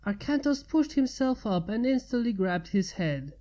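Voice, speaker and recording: A woman's voice: on the high side, lively intonation, normal speed, dark tone. A woman narrating a scripted piece of fan fiction as its reader.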